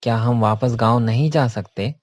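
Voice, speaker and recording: A man's voice: medium pitch, moderate pace, dry recording, natural voice. A man playing an earnest office worker and devoted family man in a cartoon story, explaining himself plainly. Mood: weary, troubled.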